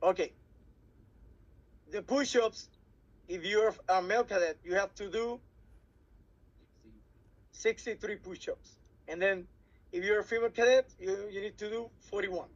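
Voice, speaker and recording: A man from Puerto Rico whose first language is Spanish, speaking English with a Puerto Rican Spanish accent. He gives a workshop briefing to cadets, halting and restarting often, repeating words as he goes. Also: sits high, dull audio. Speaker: cadet program instructor.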